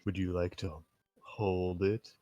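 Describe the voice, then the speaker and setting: A man's voice: sits low, level tone, slow, dark tone, no room sound, highs cut off, faint music behind. A man reading the part of a shy teenage girl obsessed with knives in an impromptu staged reading, often laughing and breaking character. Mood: soft, teasing. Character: shy knife-loving teen girl.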